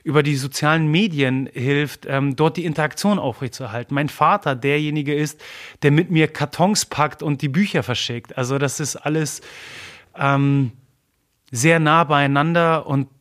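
A man whose first language is Polish, talking in a personal interview in an earnest, emotional way, pausing often with fillers and repeating words as he searches for them. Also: a little high, a bit bright. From Germany. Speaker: interview guest.

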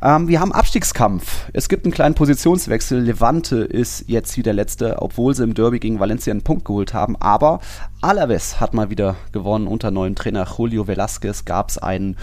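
A man's voice: fairly low pitch, fairly quick, darkish tone, no echo. A man co-hosting a football podcast in casual, colloquial, opinionated talk. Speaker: podcast co-host.

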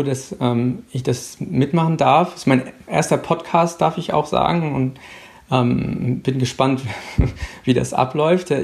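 A middle-aged man from Hamburg, heard over a phone line as a podcast guest. His voice has a gravelly rasp, and he talks in a halting way, leaning on fillers as he explains. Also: mid-range voice, a little mellow. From Hamburg, Germany. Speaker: guest, policy official. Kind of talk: interview answers.

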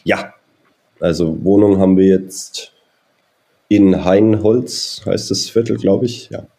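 Low-voiced man in his thirties, relaxed. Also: slow pace, creaky. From Germany (Nuremberg). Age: 30s. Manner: friendly, rambling with many fillers. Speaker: golf coach. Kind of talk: interview answers, personal background.

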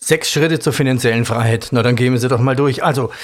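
A man's voice: mid-range voice, quick pace. A man hosting an interview, recapping points and asking questions in an informal, colloquial way. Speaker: interview host.

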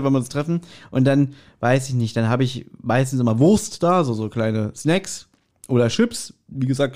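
A man with a fairly high-pitched voice hosts a casual podcast. He talks in long, rambling anecdotes, delivered with mock grievance as easy conversational chat. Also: dark tone. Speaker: podcast host.

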